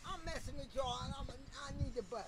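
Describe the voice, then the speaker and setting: A man's voice: very high-pitched, fast talker. Teasing fifty-something man in a crowd. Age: 50s. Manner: teasing, slangy. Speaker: guest at an outdoor rally. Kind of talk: casual street banter. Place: outdoors among a crowd. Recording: loud crowd behind.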